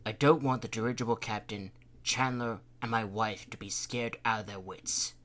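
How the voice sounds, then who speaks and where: A man voicing a stern, dismissive male mayor in a lowered voice. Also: fairly even intonation, normal speed, a bit bright, lightly acted. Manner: curt, dismissive, lower-pitched.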